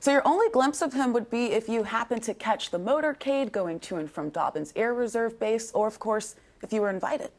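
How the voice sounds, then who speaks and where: A woman's voice: on the high side, brisk, few pauses, darkish tone. Woman reading political news. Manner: crisp, clear news reading. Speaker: news show host. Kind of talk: scripted news presenting.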